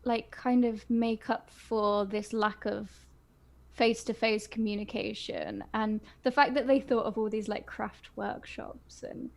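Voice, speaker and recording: A woman's voice: fairly high pitch, fairly even intonation, measured pace, creaky. A woman co-hosting a casual fan podcast, talking enthusiastically with lots of fillers. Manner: enthusiastic, gushing, frequent fillers. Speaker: podcast co-host.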